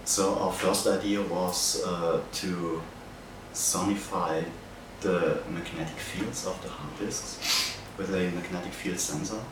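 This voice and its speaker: A young man from Austria with an Austrian accent, a native German speaker, haltingly explaining a project as an art student. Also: low voice, fairly even intonation. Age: young adult. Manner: hesitant, halting delivery with searching pauses. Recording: very echoey, distant mic, noisy background.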